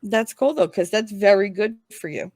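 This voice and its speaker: A woman's voice: medium pitch, expressive, normal speed, a little mellow, no echo, studio quality. A woman giving a casual tarot reading, speaking in a frank, informal, explanatory way. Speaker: tarot reader, guest.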